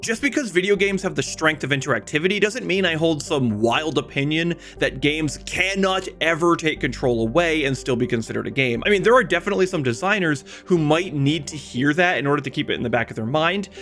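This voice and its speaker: A man's voice: on the high side, fairly bright tone. A man delivering scripted video essay narration in a conversational way, with wry asides.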